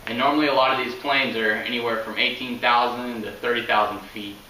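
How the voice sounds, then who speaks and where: A man's voice: mid-range voice, fairly even intonation, brisk, sharp tone. A young man giving an informal informational speech as a student, recorded indoors by a camera a few meters away. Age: young adult. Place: indoors in a home room. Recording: roomy, distant mic, faint noise.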